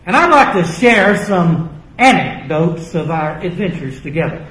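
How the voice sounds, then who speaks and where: An older man giving a spoken-word recital at a live reading, with deliberate, dramatic phrasing and pauses, speaking into a stand microphone. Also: high voice, big pitch swings, a bit bright. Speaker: spoken-word performer and lyricist. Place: small indoor venue stage. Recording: roomy live microphone sound.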